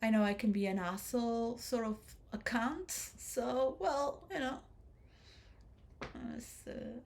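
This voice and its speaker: A woman giving a rambling philosophical monologue to role players, shifting between soft musing and loud emotional outbursts. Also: a little high, takes it slowly. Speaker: speaker addressing role players.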